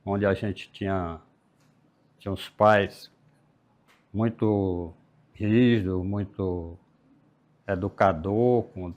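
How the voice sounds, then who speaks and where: A man, talking as a guest. His voice sits fairly low, and he speaks in an unhurried, reflective way. His sentences often trail off softly. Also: fairly even intonation. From Piauí, Brazil. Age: 60s. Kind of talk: casual interview.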